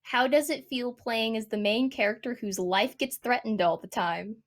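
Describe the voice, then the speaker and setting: A woman with a fairly high voice hosts in a casual way. She puts questions to her guests in informal, chatty speech. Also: moderate pace. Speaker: host. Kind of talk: casual chat, asking questions.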